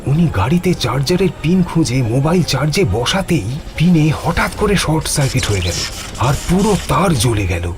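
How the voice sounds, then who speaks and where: A man's voice: on the high side, sing-song, moderate pace. A man narrating a scripted horror story in a dramatic, suspenseful way. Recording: music behind the voice.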